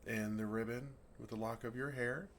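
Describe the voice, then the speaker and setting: A man's voice: medium pitch, fairly even intonation, normal speed, a little hiss or hum, lightly acted. A man playing a friendly, naive male dragon who talks earnestly and playfully to a human in an ASMR roleplay. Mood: delighted, fond. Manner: earnest, playful, puzzled, soft chuckles. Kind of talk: ASMR roleplay, intimate one-sided dialogue.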